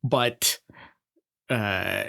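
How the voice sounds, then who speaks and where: A man's voice: lowish voice, extremely slow, vocal fry, pushed and bright. A man with a Peruvian accent co-hosting a casual film review podcast, wry and sarcastic, often breaking into laughter. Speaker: podcast co-host.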